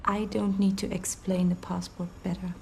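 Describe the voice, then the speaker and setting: A woman's voice: medium pitch, flat delivery, normal speed, vocal fry, a little hiss or hum, close to an everyday voice. An actress playing a composed, defiant researcher being questioned in a naturalistic TV drama, her answers terse and cutting.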